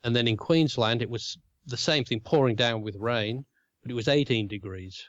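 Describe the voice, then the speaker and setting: A man with a throaty voice from a cold, talking in halting bursts and restarting his phrases. The sound is dry but slightly dull and muffled, as if coming over a remote line. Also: mid-range voice, dry recording. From Australia. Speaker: podcast co-host. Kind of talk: casual chat.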